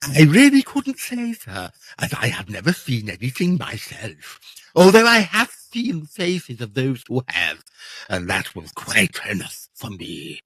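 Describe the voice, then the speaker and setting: A hesitant, obsequious butler, acted by a man in a period audio drama. His voice swoops up and down in pitch, and the sound is close and dry. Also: wildly swooping pitch, measured pace, a bit bright, breathy edge, dry recording, full character voice. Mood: evasive, hesitant. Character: deferential, evasive butler, nervously discreet and gloomy.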